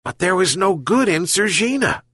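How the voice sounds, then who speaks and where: A man voicing a poor, weak old fisherman in a storybook, speaking gently and wearily. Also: high voice, sing-song, normal speed, slightly rough, no echo, studio quality, pitched above the performer's own voice, exaggerated voice. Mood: weary. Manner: weary, gentle.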